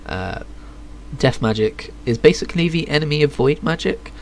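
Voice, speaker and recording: A man's voice: on the high side, expressive, moderate pace, vocal fry. A man doing casual, understated live game commentary and reading aloud, speaking into a streaming microphone. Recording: faint background noise. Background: faint game ambience.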